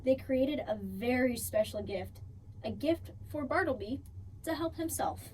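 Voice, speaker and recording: A woman reading a picture book aloud for children in an expressive storytelling style, drawing out key words. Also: sits high, unhurried. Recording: distant mic, faint background noise.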